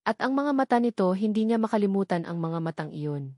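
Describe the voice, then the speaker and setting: A woman with a Filipino accent narrates a scripted story. Her voice sits at a medium pitch and the recording is dry, with very little room around it. She delivers it as dramatic storytelling. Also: animated, fairly quick, a little mellow, dry recording.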